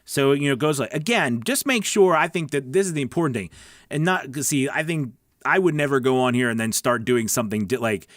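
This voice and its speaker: A man with a mid-pitched voice, recorded close and dry with hardly any room sound. He hosts a casual training livestream, talking straight to his viewers. His delivery is encouraging and emphatic, with a conversational habit of checking that listeners follow. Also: brisk, fairly bright tone, dry recording. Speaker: host of a voice-over training livestream. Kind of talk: casual livestream chat, answering viewer comments.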